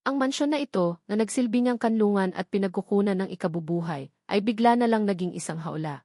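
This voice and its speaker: A woman's voice: medium pitch, brisk, dark tone, dry recording, clean studio sound. A woman narrating a scripted melodramatic story in a suspenseful, emphatic manner.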